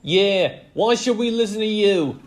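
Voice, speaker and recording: A man acts out a scornful storybook footballer. The voice is pushed high and loud, full of indignation and mockery. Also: measured pace. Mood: indignant. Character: indignant, mocking male footballers snapping at their coach.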